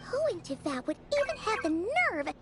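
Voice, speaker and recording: A woman voicing a tiny, chatty, excitable female companion in a video game cutscene, talking about herself in third person. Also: very high-pitched, full character voice. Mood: indignant. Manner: refers to herself in third person, quick mood swings. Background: game soundtrack music.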